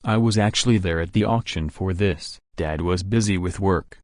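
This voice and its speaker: Actor as low-voiced, confident young art lover. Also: male voice, moderate pace, darkish tone, no room sound, studio quality, close to an everyday voice. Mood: assured, friendly. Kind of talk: visual novel voice acting.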